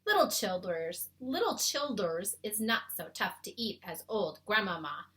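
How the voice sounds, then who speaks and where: An earnest, friendly giant from a children's storybook, acted out by a woman reader. The delivery is loud and emphatic, swinging up and down in pitch with a bright edge. The talk is folksy and muddled. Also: big pitch swings, unhurried. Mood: eager explaining. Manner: emphatic, mangled homespun grammar. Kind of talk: children's storybook read-aloud.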